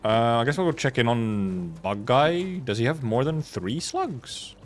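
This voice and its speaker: A man's voice: medium pitch, lively intonation, a little slow, slight background noise. A man giving casual live gameplay commentary as a streamer, rambling and muttering to himself. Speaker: game streamer.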